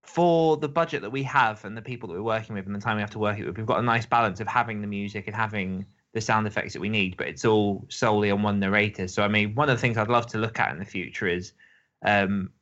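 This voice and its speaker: Low, forceful man on a dull recording. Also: steady tone, fast talker. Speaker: podcast co-host. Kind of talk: casual discussion. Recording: dull, band-limited sound.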